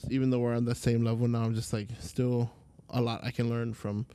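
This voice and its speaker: A young man from Hawaii, a Hawaiian language teacher, chatting as a podcast guest in a relaxed, rambling way with lots of fillers. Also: mid-range voice, brisk, slight background noise. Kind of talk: casual interview chat.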